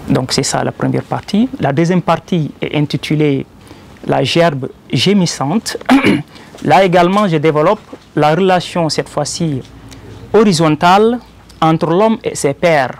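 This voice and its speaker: A man with a Senegalese accent, a poet speaking in a measured, deliberate way with pauses between short phrases. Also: on the high side, creaky. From Joal, Senegal. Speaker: poet presenting his own collection. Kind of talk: poetry recitation and explanatory talk about it.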